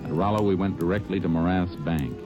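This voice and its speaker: An actor playing a steady Texas Ranger who narrates and questions suspects in a tersely measured way in a radio drama. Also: male voice, low voice, music in the background, natural voice. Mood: stern. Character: stern, steady male Texas Ranger lawman.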